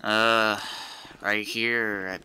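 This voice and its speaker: A man's voice: fairly low pitch, little pitch movement, drawn-out words, pushed and bright, clear, resonant tone, rough recording. A man talking casually in character as a player in an online survival roleplay game.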